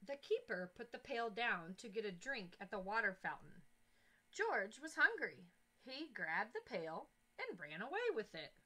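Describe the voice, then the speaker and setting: Bright, lively woman reading to children. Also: medium pitch. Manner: expressive, deliberate storytelling with emphatic phrasing. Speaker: teacher reading aloud to young children. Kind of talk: children's picture book read-aloud. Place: small indoor classroom-style corner. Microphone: headset boom mic.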